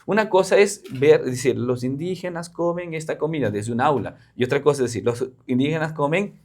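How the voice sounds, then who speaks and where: A man's voice: on the high side, a little fast, darkish tone. A man from Peru with a Peruvian Spanish accent, a missionary guest in an interview, explaining earnestly with frequent fillers. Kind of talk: interview conversation.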